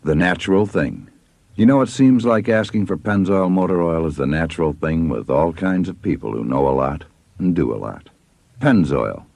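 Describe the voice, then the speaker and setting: A man's voice: sits low, lively intonation, normal speed, vocal fry, a little mellow, dry recording. A man narrating a scripted commercial as an announcer.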